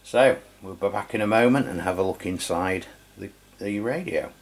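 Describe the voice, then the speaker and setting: Deep-voiced middle-aged man reviewing gear. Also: moderate pace. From England. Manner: informal, matter-of-fact. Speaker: radio review channel host. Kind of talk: casual hands-on product review commentary.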